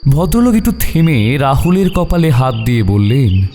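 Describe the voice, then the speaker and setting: A man's voice: medium pitch, sing-song, moderate pace, dark tone. A man narrating a scripted horror story in a dramatic storytelling style. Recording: long reverb, background music bed.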